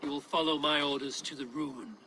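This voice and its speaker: An actor playing a stern Viking warrior chieftain in a video game, speaking in a blunt, measured, commanding way. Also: male voice, on the high side, steady tone, background noise, natural voice. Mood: resolute. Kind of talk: scripted video game drama acting.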